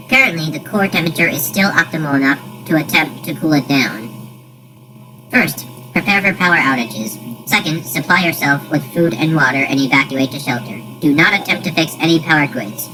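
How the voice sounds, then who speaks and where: A woman with a fairly low voice delivers a scripted emergency announcement. She is formal and impersonal throughout. Loud music plays behind her. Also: low-quality recording.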